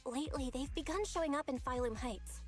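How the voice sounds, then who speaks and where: A woman voicing a brisk, helpful young fighter companion in a video game, with a matter-of-fact manner. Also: high voice, faint music behind, close to an everyday voice.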